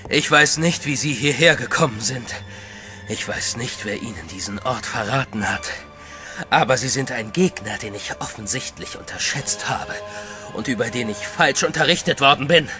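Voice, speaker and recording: A sinister sorcerer in a horror radio play, speaking with cold, measured menace, as an actor plays him. His voice sits at an ordinary middle pitch for a man, and moody music runs underneath him. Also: natural voice. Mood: coldly menacing. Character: sinister male sorcerer in a haunted house. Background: eerie music.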